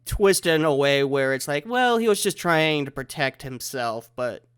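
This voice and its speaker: Stuffy-nosed guy, kinda high voice, hosting a chill podcast over the internet. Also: animated, moderate pace, fairly bright tone, smooth. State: congested from a head cold. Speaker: podcast host. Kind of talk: casual podcast chat. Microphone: remote recording over the internet.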